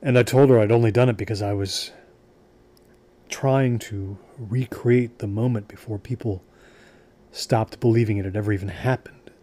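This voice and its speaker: An actor playing a haunted, obsessive, embittered man in an audio drama, speaking in a halting, deliberate way. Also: fairly low pitch, fairly even intonation, warm tone, close to an everyday voice. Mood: weary, confessional. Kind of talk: audio drama acting, brooding confessional storytelling.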